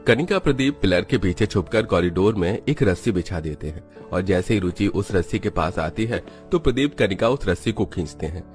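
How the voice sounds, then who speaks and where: A man's voice: deep voice, lively intonation, moderate pace, hardly any pauses. A man reading scripted narration for a children's moral story. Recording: music behind the voice.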